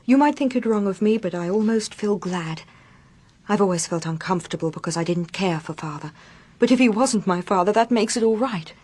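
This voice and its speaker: A woman's voice: medium pitch, lively intonation, fairly quick, darkish tone, close to an everyday voice. A woman playing an earnest, sensitive young village woman in a British radio drama. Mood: troubled, relieved.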